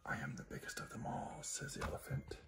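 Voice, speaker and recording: A man reading a picture book to a small child at bedtime in a gentle, often hushed sing-song, recorded on a phone in a room at home. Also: brisk, whispered. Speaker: parent reading to a toddler. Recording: noisy home phone video with clicks.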